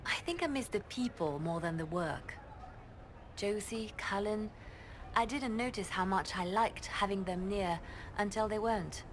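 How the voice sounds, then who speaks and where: A woman playing a poised, gentle spymaster priestess. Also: mid-range voice, a little slow, slight background noise, lightly acted. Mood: wistful. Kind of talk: video game character dialogue.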